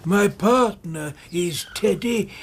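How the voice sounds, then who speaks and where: An actor in a radio drama playing a bitter, crippled old soldier, haunted and anguished, with a rough voice. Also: male voice, high-pitched, slight background noise, full character voice. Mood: agitated. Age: older. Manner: anguished, slow, emotionally fraught.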